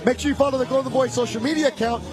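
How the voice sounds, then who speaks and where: High-voiced man calling boxing. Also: racing. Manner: energetic, asks guests questions. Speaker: play-by-play commentator. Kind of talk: live boxing commentary. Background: music and crowd noise.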